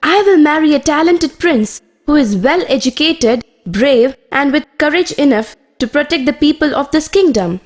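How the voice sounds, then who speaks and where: A young princess in a children's cartoon, played by a woman. She speaks in a very high voice. She is choosy, polite and firm. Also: lively intonation, normal speed, hardly any pauses.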